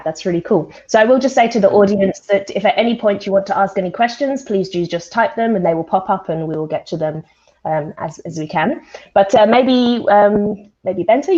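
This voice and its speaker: A woman's voice: mid-range voice, darkish tone. A woman hosting an informal live online panel in a chatty, conversational way over a video call. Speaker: host, volunteer science event presenter. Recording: dull, lo-fi video call audio.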